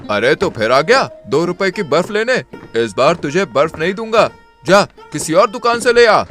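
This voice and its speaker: A rude gruff ice seller. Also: male voice, high voice, very animated, normal speed, fairly bright tone, faint music behind. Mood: harsh. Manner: harsh, dismissive.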